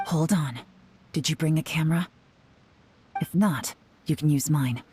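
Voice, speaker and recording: A woman voicing a composed, wryly amused, mysterious lady in a video game, unhurried and wry. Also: sits low, warm tone, slight background noise. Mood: wry amusement.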